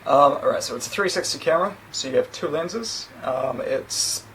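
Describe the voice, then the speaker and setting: Chatty New Zealand man, lively pitch. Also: moderate pace, a little hiss or hum, rough recording. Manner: chatty, rambling, self-deprecating asides. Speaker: tech reviewer. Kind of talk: casual unboxing talk. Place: small indoor room against a plain sheet backdrop.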